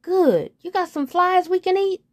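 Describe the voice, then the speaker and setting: A woman's voice: very high voice, expressive, unhurried, no room sound, clean studio sound, pitched above the performer's own voice. A woman voicing a group of rowdy frog friends who jeer bluntly. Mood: mocking. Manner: jeering, blunt.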